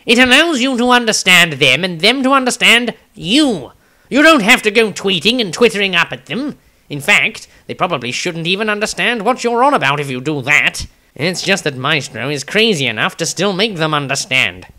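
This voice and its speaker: A man's voice: high-pitched, very animated, normal speed, bright, forceful tone, voice pushed up, heavily acted. A man voicing a critical, scholarly adventurer in a fantasy story, wry, matter-of-fact and slightly pedantic. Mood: wry, disapproving.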